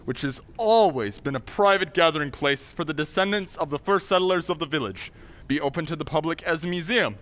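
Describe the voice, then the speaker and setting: A man's voice: a little high, fairly quick, fairly bright tone, close to an everyday voice. A man playing an earnest, authoritative town meeting leader in a radio play, emphatic and formal. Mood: commanding. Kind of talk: old-time radio drama acting.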